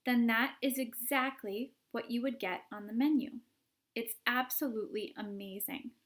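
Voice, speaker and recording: A woman voicing an eccentric, excitable male candy inventor in a storybook read-aloud, fussy and insistent. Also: fairly high pitch, normal speed, close to an everyday voice. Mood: proud.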